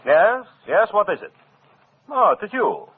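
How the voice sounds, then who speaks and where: An actor playing a haughty, jealous older orchestra conductor in a brusque, formal 1940s radio style. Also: male voice, high-pitched, big pitch swings, unhurried, a little hiss or hum. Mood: imperious irritation. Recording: tinny, muffled vintage radio recording.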